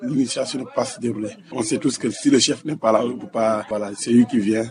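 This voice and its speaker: Guy explaining stuff in an interview, mid pitch, crowd noise behind. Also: fairly even intonation, quick pace. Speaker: local interviewee.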